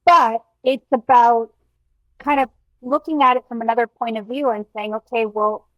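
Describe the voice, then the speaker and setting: A woman from Miami with a fairly high voice speaks as a podcast guest and nutrition coach. She is earnest and reflective, and she leans on you-knows and ums as she thinks. The sound is dry and close. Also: steady tone, normal speed, dry recording, rough recording. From Miami, United States. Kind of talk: podcast interview.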